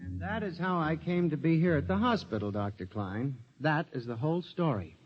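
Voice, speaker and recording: High-voiced, agitated paranoid mental patient. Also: male voice, expressive, normal speed, soft background music. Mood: earnest, pleading. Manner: earnest, feverishly insistent. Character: agitated male psychiatric patient, a paranoid clerk pleading. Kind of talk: 1950s radio drama acting. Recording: muffled vintage 1950s radio recording.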